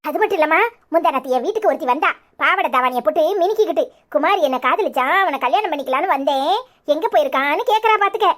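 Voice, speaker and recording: A woman playing a sharp-tongued, suspicious village wife in a melodrama, scolding and taunting in a southern Tamil Nadu accent. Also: high voice, animated, fast. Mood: suspicious, bossy. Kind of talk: melodramatic village serial acting.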